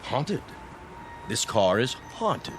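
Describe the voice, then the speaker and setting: A man's voice: medium pitch, big pitch swings, very slow, noisy background, exaggerated voice. A man playing a folksy, wily local politician in an audio drama, blustering and full of old-fashioned slang. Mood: incredulous. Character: folksy, wily local politician haggling over a used car.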